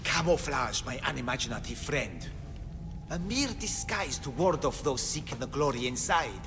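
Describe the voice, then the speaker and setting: A man's voice: mid-range voice, sing-song, moderate pace. A man playing a theatrical, fanatical devotee of the gods in a video game cutscene. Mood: wry, eager. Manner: theatrical, grandiose. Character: fanatical male devotee seeking eternity among the gods. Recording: long hall reverb under loud music.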